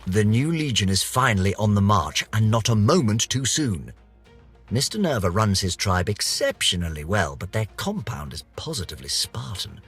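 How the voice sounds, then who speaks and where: An actor playing a pompous, eccentric British gentleman scientist, vain and eager, reading florid journal entries for a video game in a theatrical, precisely worded way. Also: lowish voice, big pitch swings, normal speed, slightly rough, soft background music, full character voice. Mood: sly, self-justifying.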